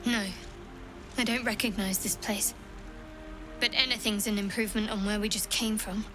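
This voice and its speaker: An actress voicing a guarded young female cleric in a video game, speaking in a measured, dry, matter-of-fact way. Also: medium pitch, fairly even intonation, natural voice. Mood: resolute. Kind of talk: video game cutscene dialogue. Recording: music behind.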